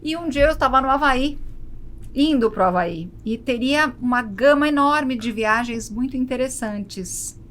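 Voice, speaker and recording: A woman's voice: high voice, a little slow, fairly bright tone. A Brazilian woman speaking conversationally as an interview guest, explaining her work. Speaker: podcast guest, travel presenter. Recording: faint background noise and ambience.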